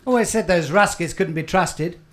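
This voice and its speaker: A man's voice: sits high, fairly bright tone. Man playing a chirpy airman sidekick. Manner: chirpy, quick quips. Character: eager young British airman sidekick, man. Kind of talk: amateur comic radio play.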